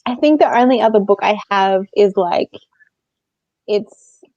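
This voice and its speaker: A woman's voice: medium pitch, measured pace, warm tone. A woman co-hosting a book readalong live show in giggly, informal casual chat. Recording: dull, roomy lo-fi sound.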